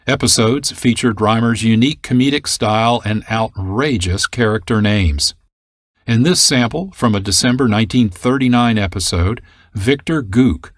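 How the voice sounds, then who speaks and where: A man's voice: lowish voice, no room sound, clean studio sound. A man hosting a radio program in scripted narration. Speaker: program host.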